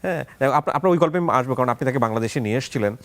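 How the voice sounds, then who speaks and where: A Bangladeshi man hosts a conversational interview. He speaks quickly, with very lively, swinging intonation, and drops English words into his questions. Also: mid-range voice. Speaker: interview host.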